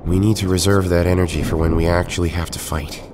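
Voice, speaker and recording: A man playing a steady, wry heroic team leader in a superhero audio drama. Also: low-pitched, fast, background noise, lightly acted. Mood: wry, practical.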